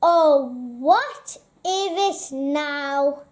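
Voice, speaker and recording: A grumpy male badger from a children's storybook, acted out by a woman, pitched high and delivered very slowly. He huffs, snorts and splutters his words like someone who only wants peace and sleep. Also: lively intonation, exaggerated voice. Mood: exasperated.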